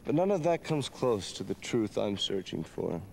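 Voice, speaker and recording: An actor playing an earnest, reflective young adventurer, a romantic dreamer, in a dramatic video game cutscene. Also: male voice, on the low side, very animated, moderate pace, vocal fry, a little mellow, a little hiss or hum. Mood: yearning.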